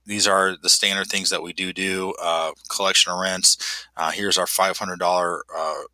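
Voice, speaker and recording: A man with a nasal voice talks a client through the steps on screen in a loose, self-deprecating way. He restarts his sentences often and fills the gaps with "uh" and "um." The voice is fairly low but very bright, recorded close on a dry voice-over mic. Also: level tone, moderate pace, dry recording, poor audio. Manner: casual, self-deprecating, many fillers and restarts. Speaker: property manager guiding a client. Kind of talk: screen walkthrough tutorial.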